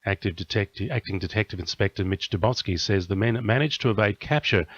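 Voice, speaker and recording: Fast-talking Australian male news reader. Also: on the low side. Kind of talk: scripted news bulletin. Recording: dull lo-fi radio audio.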